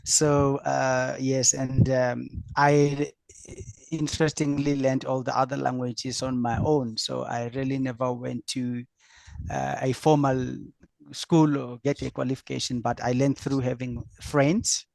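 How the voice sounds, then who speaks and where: A middle-aged man from South Africa with a South African accent whose first language is Afrikaans, answering as a business coach on an online panel, halting with fillers and restarts. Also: mid-range voice, flat delivery, gravelly, a little mellow, no echo. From South Africa, Free State. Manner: halting, many fillers and restarts, laughs easily.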